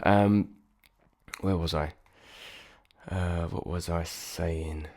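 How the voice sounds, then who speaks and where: Low, nasal self-doubting singer vlogging. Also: male voice, fairly even intonation, extremely slow, darkish tone. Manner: rambling, self-deprecating, frequent restarts. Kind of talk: reflective casual vlog talk.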